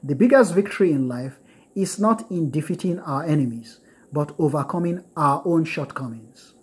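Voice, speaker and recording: Casting: man, husky voice, earnest lecturer who circles back on his points. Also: a little high, lively intonation, darkish tone. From United States. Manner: earnest, repetitive, many filler pauses. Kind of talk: informal recorded lecture on Buddhism.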